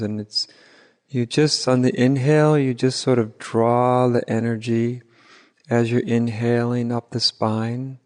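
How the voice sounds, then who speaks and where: A man leading an unscripted guided meditation as a teacher, gently and unhurriedly, with long pauses. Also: mid-range voice. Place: large reverberant room at a retreat. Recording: hall reverb, live retreat recording.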